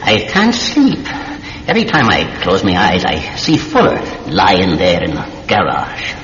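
An actor playing a wretched, guilt-ridden English gentleman, agitated and faltering in an old-time radio drama. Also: sits low, wildly swooping pitch, a little slow, full character voice. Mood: anguished. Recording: echoing, noisy, muffled classic radio recording.

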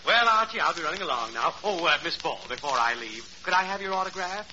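An actor playing a genteel, smooth-talking salesman in a 1940s radio comedy, with a prim, courteous and ingratiating manner. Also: fairly high pitch, lively intonation, fast, bright, forceful tone. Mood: cheery, ingratiating. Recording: noisy, muffled old radio recording.

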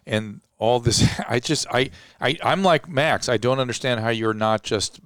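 A man hosting a talk show, chatty and quick to jump in with questions. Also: medium pitch, fairly bright tone, clean tone, no room sound, clean studio sound.

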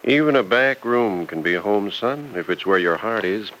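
A man's voice: fairly low pitch, lively intonation, normal speed, fairly bright tone, rough recording. An actor playing a kindly, ailing older farmer and father-in-law in an old radio serial. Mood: tender, reflective. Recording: vintage radio recording with faint noise.

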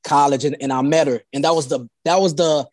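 A man from Haiti telling personal stories as an interview guest in an informal, halting way, often repeating phrases. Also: a little high, fairly even intonation, no echo, studio quality. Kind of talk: casual personal storytelling.

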